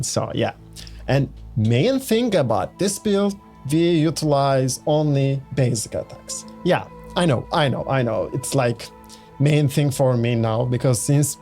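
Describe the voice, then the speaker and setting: Unhurried gaming host, low-key. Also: male voice. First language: Turkish. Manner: relaxed, rambling, restarts sentences, repeats "let's say" and "you know what I mean". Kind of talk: casual game build walkthrough.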